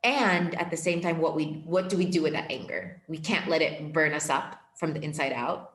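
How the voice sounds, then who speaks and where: A woman's voice: low-pitched, normal speed, a bit bright, roomy sound. A young woman guest, an empowerment coach, talking casually and earnestly in a podcast interview, full of reflective filler words. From born in Portugal, raised in Ethiopia. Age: young adult.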